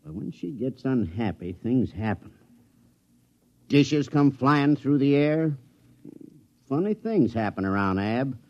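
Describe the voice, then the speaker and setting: A weary, gruff hill-country father in a vintage radio play. His rural American drawl is folksy and terse, yet swings widely in pitch. The sound is dull and muffled. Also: very animated, takes it slowly, pauses often. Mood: uneasy. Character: weary backwoods father, gruff, dour, wary of daughter. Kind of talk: 1950s radio drama acting. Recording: muffled old radio broadcast.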